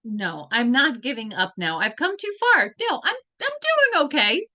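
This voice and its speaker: A woman voicing a stubborn, determined teenage girl in a live video-call table read, lively in her delivery. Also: high-pitched, a little slow, heavily acted. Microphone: video call mic.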